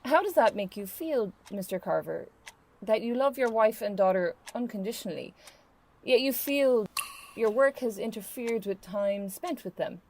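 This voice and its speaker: Actress as a patient lady therapist in a radio play, slightly high voice, soothing and professional. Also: lively intonation, moderate pace, a little mellow, slight background noise, rough recording. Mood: measured, soothing. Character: patient, placating middle-aged female psychologist.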